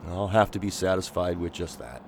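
A man playing an earnest, agitated gentleman. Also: low-pitched, animated, fairly quick, creaky, slight background noise. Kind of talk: radio drama acting.

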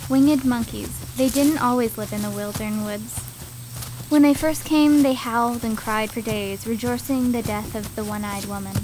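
A woman's voice: on the high side, fairly even intonation, moderate pace, noisy background, close to an everyday voice. A woman playing an adventurous, dutiful young daughter and woodland guide in a radio fairy tale, speaking earnestly. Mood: wary, earnest.

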